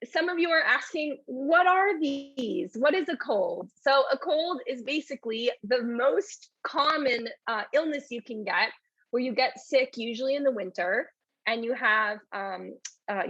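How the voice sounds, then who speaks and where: A woman's voice: on the high side, measured pace, fairly bright tone, no room sound, dull audio. A woman teaching a live online English lesson in a chatty way, reading out and answering questions from the chat.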